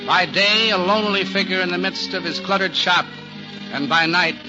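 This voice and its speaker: A male announcer tells a story in formal, measured 1940s radio style. His voice is pitched fairly high, with music clearly playing behind him on a dull vintage recording. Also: steady tone. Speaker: narrator and announcer. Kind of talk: scripted 1940s radio drama narration.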